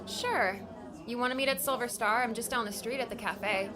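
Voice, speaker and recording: Actress as a higher-pitched friendly young woman. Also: moderate pace, music in the background, lightly acted. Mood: casual, upbeat. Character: friendly, hesitant young woman longing for adventure. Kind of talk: audiobook drama acting.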